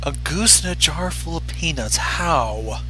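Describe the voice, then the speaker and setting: Bright-voiced man making wry quips. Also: on the high side, animated, a little slow, soft background music. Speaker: co-reader commenting on the story. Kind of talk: casual commentary.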